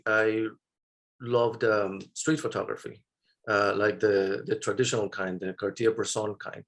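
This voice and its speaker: A man in his thirties from Mexico, a photographer answering interview questions in a thoughtful, hesitant way with many fillers and restarts. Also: lowish voice. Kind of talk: interview conversation.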